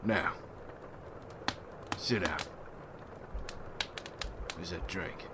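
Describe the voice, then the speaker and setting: A man playing a gruff, hardened vampire hunter in an ASMR roleplay, speaking in folksy rustic slang as he mentors a newcomer. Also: sits low, very slow, heavy background noise. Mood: grim, matter-of-fact.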